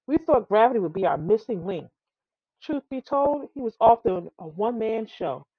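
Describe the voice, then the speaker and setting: Higher-voiced woman reading a children's story, muffled. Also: moderate pace, breathy edge, no room sound. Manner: expressive, with frequent stumbles over words. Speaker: storybook reader. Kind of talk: read-aloud children's tall tale narration.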